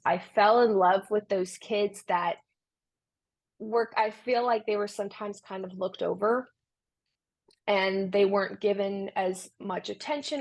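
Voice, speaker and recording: A young adult woman from Romania, a tutoring business founder, answering interview questions in an earnest way with many restarts. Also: mid-range voice. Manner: earnest, with frequent restarts and fillers.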